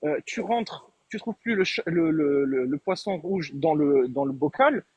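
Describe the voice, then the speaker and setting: A man's voice: on the high side, lively intonation, a little slow, slightly rough, lo-fi sound. A man making a point in an online debate, explaining his argument hesitantly with many fillers. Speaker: debate participant.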